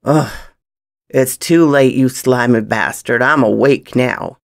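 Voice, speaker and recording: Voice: mid-range voice, a little slow, mellow, dry recording, clean studio sound, lightly acted. A reader as a threatening western gunslinger. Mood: irritated menacing. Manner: threatening, cursing, sardonic. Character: gruff, threatening, hard-drinking male gunslinger of the Old West.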